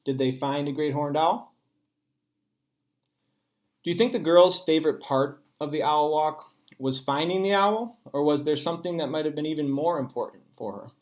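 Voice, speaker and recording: A man, a teacher, reading a children's storybook aloud in an expressive way with dramatic pauses. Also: on the high side.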